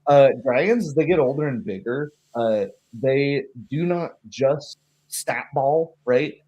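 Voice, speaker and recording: Chatty, halting man, game master. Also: mid-range voice, expressive, dark tone, lo-fi sound. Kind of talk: casual chat about a tabletop game.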